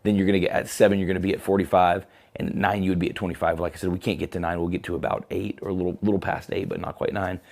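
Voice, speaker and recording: Hoarse, low-voiced man demoing studio gear. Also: rapid-fire. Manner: relaxed, chatty, with frequent fillers. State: hoarse, scratchy throat. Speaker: company product presenter. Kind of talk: casual live product demo. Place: photo studio.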